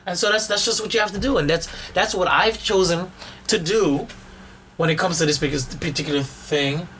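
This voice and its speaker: A hoarse man talking things through as a podcast co-host, pitched a touch high. He rambles, circles back and repeats himself while making a point. Faint noise sits under the voice. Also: moderate pace, bright, forceful tone, poor audio. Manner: rambling, repeats words and restarts often. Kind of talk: casual chat.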